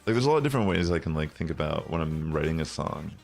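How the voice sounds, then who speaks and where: Streamer: young man, bassy low voice, rambling casual talk, gravelly edge, faint music under him. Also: lively intonation, fast talker. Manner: rambling, many fillers. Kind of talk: casual chat while gaming.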